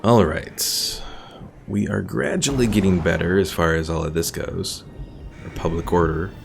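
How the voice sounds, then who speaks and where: A man's voice: deep voice, measured pace, gravelly, soft background music. A man giving casual, easygoing live game commentary, thinking aloud and reading out chat as a streamer.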